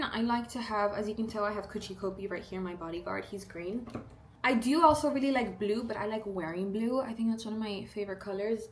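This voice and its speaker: A young woman in her early twenties with a South Texas accent, talking in a chatty, upbeat way. Her voice sits at an ordinary middle pitch. A faint hiss of background noise runs under the home recording. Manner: chatty, upbeat, animated asides and playful quoted voices. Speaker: beauty vlogger. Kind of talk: casual get-ready-with-me chat. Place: indoors at home, doing makeup.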